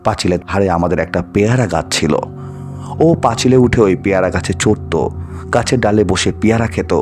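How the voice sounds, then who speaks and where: A man narrating a scripted ghost story in an expressive, dramatized way. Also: sits low, a little fast, soft background music. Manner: expressive, acts out the dialogue of characters.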